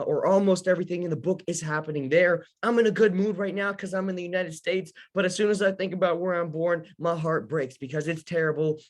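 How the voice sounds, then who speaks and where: A young man from Ukraine teaching a casual live lesson for beginner investors, talking straight to his audience. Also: high voice. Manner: casual, talks to the audience, many fillers and restarts. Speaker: host of an investing workshop.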